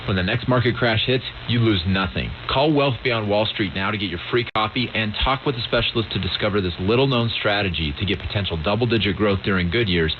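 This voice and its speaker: A man with a fairly low voice makes a scripted sales pitch in a radio ad. The sound is muffled and band-limited, with clear static noise around it. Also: fairly quick, few pauses. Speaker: author promoting his book in a radio ad. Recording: noisy, muffled shortwave radio relay.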